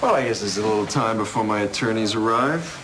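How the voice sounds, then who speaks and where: Low male voice, animated intonation, actor as smug criminal, sardonic, condescending, cold, audio drama. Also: noisy background, close to an everyday voice. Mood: smug, mocking. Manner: unhurried, arrogant, sardonic. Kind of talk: crime audio drama acting.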